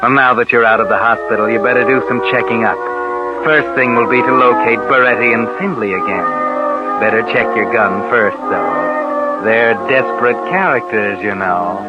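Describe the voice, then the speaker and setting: Casting: man as insinuating American male suspense narrator, mid-pitched, 1940s radio, muffled recording with loud music behind. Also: moderate pace, natural voice. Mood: ominous, needling. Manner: knowing, insinuating. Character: sardonic, ominous male narrator needling the suspect as his conscience.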